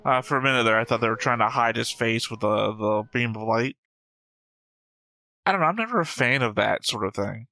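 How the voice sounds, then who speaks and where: A man's voice: mid-range voice, lively intonation, fairly quick, sharp tone, no room sound, faint music behind. A man hosting a reaction show, talking casually and argumentatively with frequent swearing. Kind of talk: casual discussion, reacting to an animated series.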